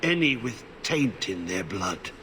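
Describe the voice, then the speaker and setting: An actor playing a half-mad, corrupted old warden in a video game, haunted and cryptic, speaking in halting fragments. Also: male voice, fairly low pitch, big pitch swings, bright, forceful tone, a little hiss or hum, heavily acted. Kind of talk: video game cutscene acting.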